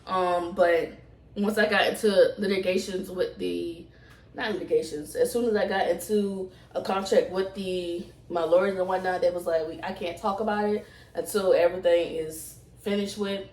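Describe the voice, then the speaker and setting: A woman's voice: lowish voice, fairly even intonation, normal speed, a little mellow. A woman chatting casually to camera in storytime style, rambling and slangy, with emphatic repeats. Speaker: vlogger. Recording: roomy echo, distant microphone.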